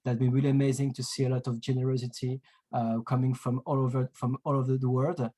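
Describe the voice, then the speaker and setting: A man's voice: mid-range voice, flat delivery, warm tone. French-accented man hosting a webinar. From France. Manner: halting, many fillers and restarts. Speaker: webinar host.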